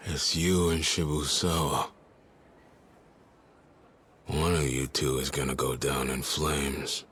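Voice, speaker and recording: A hushed, taunting gangster rival. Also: male voice, rumbling low, level tone, slow pace, breathy edge, heavily acted. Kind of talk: video game cutscene dub. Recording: game audio with music behind.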